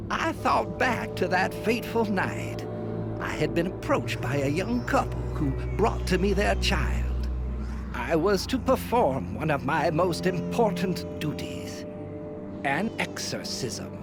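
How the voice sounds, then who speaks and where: High-pitched, fervent, tormented fallen preacher. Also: male voice, very animated, takes it slowly, over loud music, heavily acted. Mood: fervent, anguished. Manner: theatrical, sermonizing, grandiose. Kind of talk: dramatic scripted first-person video game narration.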